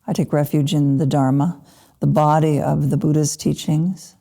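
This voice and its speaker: Low, creaky woman guiding meditation. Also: steady tone. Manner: unhurried, gentle pauses between short phrases. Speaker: Buddhist meditation teacher (lama). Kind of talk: guided meditation talk.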